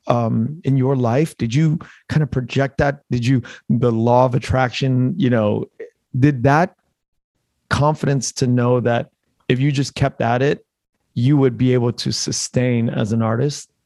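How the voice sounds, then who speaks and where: Gravelly-voiced male podcast host. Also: medium pitch, fairly even intonation, measured pace, a little mellow, dry recording. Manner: rambling, loosely strung questions. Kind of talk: casual interview questions.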